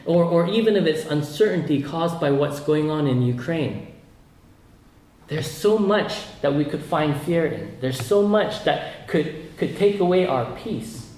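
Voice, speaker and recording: A man's voice: fairly high pitch, animated, measured pace, a little mellow, roomy sound, poor audio. A man from Malaysia preaching a sermon, earnest and often repeating words as he builds his points.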